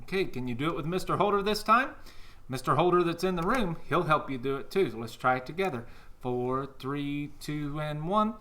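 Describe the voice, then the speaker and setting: Upbeat, lively-voiced male music teacher. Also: on the high side, normal speed. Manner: upbeat, encouraging, talks to kids at home. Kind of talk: children's music lesson.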